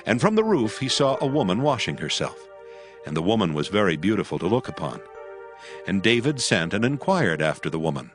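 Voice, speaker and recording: Low-voiced man narrating scripture solemnly. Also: very animated, fairly quick. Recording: music behind the voice.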